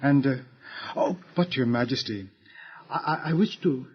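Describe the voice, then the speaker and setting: An actor playing a fawning, flustered servant prince at the Egyptian court in a theatrical audio drama, obsequious, stammering and ceremonious. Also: male voice, medium pitch, moderate pace, slightly rough. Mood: fawning, nervous. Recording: dull lo-fi radio drama recording.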